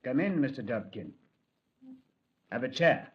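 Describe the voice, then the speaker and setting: A composed senior police commander who narrates and questions in a measured, clipped way. His voice sits in a plain middle pitch. He is heard on a dull, muffled old radio recording. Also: sing-song. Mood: stern. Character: calm, authoritative male senior police commander. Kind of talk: 1950s radio drama acting.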